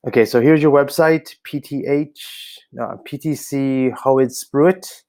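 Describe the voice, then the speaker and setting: A man's voice: medium pitch, steady tone, unhurried, a little mellow. An American man giving casual spoken feedback on a website, hesitant and full of ums and restarts, recorded on a laptop camera indoors. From United States. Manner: hesitant, many ums and restarts, thinking aloud. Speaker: website reviewer for coaches. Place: indoor room, seen on camera.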